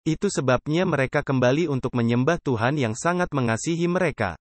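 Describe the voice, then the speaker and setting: A man's voice: mid-range voice, fairly quick, dry recording. Earnest male preacher, dry close sound. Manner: earnest, emphatic, with rhetorical questions to listeners. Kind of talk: dubbed sermon.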